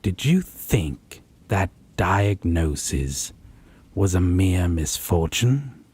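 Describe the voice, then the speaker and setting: Possessive male vampire, played by a man: low, heavily husky, unhurried and haughty, for roleplay audio drama. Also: sing-song, darkish tone, full character voice. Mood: scornful, menacing. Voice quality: breathy, husky. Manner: haughty, slow, deliberate, theatrical menace.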